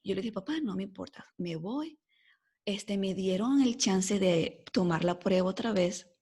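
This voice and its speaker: A Venezuelan woman in her forties with a mixed accent softened by life in the United States, telling her story as a podcast guest in a lively way, full of fillers like "este" and "o sea". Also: mid-range voice, measured pace, husky. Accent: mixed Venezuelan Spanish, softened by years in the US. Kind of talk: casual storytelling interview.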